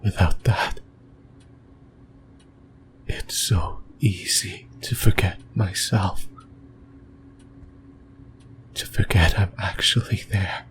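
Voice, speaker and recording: Slow whispering male demon, acted by a man, low and creepy. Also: fairly even intonation, slight background noise. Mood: possessive, intimate. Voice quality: breathy whisper. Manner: slow, halting, rambling, intimate musing. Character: possessive, creepy male sleep paralysis demon, yearning and lonely. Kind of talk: whispered ASMR roleplay. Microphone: close microphone.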